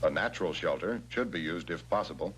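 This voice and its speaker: An American man giving measured, instructional narration in the scripted style of a 1960s military training film, stressing key words. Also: low-pitched, lively intonation, a bit bright. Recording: noisy 1960s film soundtrack with hum.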